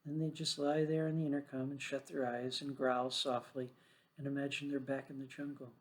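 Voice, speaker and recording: A man's voice: medium pitch, little pitch movement, a little fast, rough recording. A man reading a scripted children's story aloud as the storyteller.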